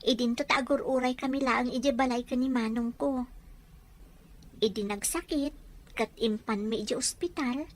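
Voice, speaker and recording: A woman's voice: a little high, normal speed, lightly acted. A woman playing a polite, inquisitive young housemaid and devoted daughter in an audio drama. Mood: friendly, curious. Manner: polite, questioning.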